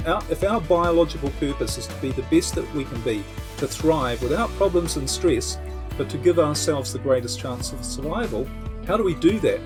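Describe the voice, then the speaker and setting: A man's voice: a little high, expressive, moderate pace, music in the background. A man from New Zealand with a New Zealand accent, talking conversationally as a coach being interviewed on a podcast. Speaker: podcast guest, entrepreneur coach.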